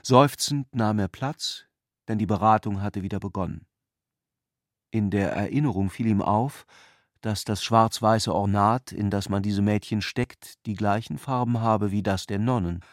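A man's voice: on the low side, measured pace, dry recording, studio quality. A man reading literary narration as the narrator of a radio play.

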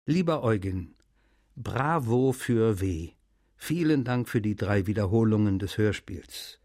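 Letter-reading writer, dry studio sound. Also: male voice, fairly low pitch, lively intonation, unhurried, a little mellow, dry recording. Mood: friendly, content. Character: French male writer reading his friendly letters aloud. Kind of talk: scripted radio feature reading.

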